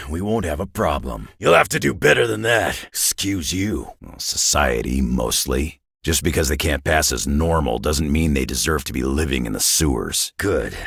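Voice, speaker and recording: An actor playing a gruff, sardonic, battle-hardened male superhero in a video game, growling tough-guy slang in a raspy North American accent. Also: fairly bright tone, no room sound, studio quality, exaggerated voice. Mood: brusque, dry. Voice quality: very deep, gravelly, raspy growl. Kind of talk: video game character voice lines.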